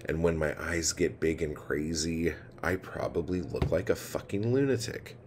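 A man in his forties vlogging at home, rambling and swearing as he vents his frustrations and low mood. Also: moderate pace. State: feeling low and tired. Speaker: poet vlogger. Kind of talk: casual confessional vlog. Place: indoor room with ceiling fan. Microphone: phone camera held low, close. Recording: noisy phone recording.

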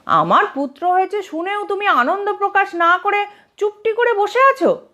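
A woman's voice: very high voice, big pitch swings, normal speed, bright, forceful tone, pitched above the performer's own voice, full character voice. A woman voicing a proud, exasperated king in a comic audio storybook, speaking in an emphatic, commanding way. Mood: displeased, questioning.

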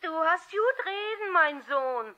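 An actress playing a plucky, gruff, plump household cook, blunt and folksy, in a 1960s German radio play. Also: female voice, very high-pitched, lively intonation, takes it slowly, exaggerated voice. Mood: flustered. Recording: dull vintage radio play sound.

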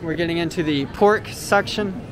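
Man, vlog host, high voice, casual tour commentary, camera mic, loud store noise behind. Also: normal speed. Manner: relaxed, explanatory, frequent uh fillers. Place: large indoor supermarket. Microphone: handheld camera mic while walking. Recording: noisy store background. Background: store ambience noise.

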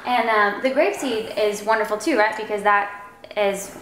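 A young woman co-hosting a cooking segment in a home kitchen, chiming in with agreeable questions and quick replies. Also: medium pitch, fairly bright tone, a little hiss or hum. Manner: chatty, agreeable, quick back-channel replies. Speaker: actress co-host. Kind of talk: casual cooking chat. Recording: roomy kitchen with clattering dishes.

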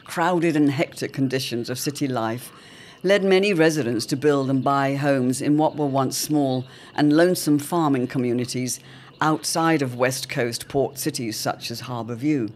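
Male narrator, faint ambient noise, mid-pitched, measured, portentous, old radio serial style. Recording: clear background ambient noise.

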